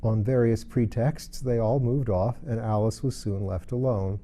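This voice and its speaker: A man's voice: medium pitch, fairly even intonation, a little slow, dark tone. A man narrating a storybook as an audiobook reader.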